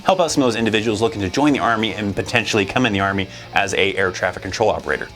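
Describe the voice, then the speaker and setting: A man with a fairly low voice talks very quickly as he hosts an informational explainer. His delivery is conversational and addressed straight to viewers, with faint music underneath. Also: a bit bright. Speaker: video host.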